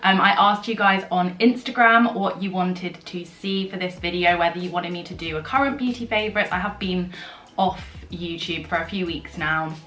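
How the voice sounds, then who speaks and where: A young woman, slightly husky from a sore throat, chatting and rambling through a get-ready-with-me vlog with many fillers. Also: fairly low pitch, moderate pace, fairly bright tone. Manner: rambling, filler-heavy storytelling. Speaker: beauty vlogger. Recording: echoey room with faint music.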